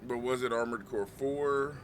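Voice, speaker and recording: A man in his thirties, a streamer chatting casually, joking and swearing through lively banter. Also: medium pitch, moderate pace, slight background noise. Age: 30s. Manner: joking, profane, animated banter. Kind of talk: casual chat.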